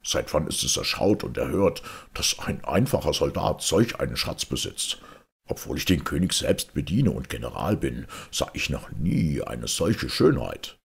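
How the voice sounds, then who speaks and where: A man playing a proud castle commander and royal servant in a fairy tale, formal and courtly, with a gravelly, husky voice. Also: extremely low pitch, very animated, a little slow, exaggerated voice. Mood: amazed.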